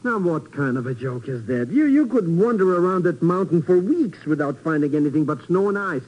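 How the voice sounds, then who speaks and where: An excitable, cheeky sidekick in an old radio drama. His voice is high and slightly rough, jumping around in pitch. He stammers and chatters in colloquial phrases. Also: fairly quick, lo-fi sound. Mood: anxious, joking. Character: excitable, cheeky small-time male sidekick with shaky grammar. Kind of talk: 1950s radio drama acting. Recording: old 1950s radio recording.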